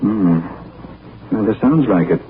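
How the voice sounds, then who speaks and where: An actor playing a refined gentleman detective in a 1940s radio drama, with clipped, polished diction. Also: fairly low pitch, lively intonation, unhurried. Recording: scratchy, tinny 1940s radio broadcast.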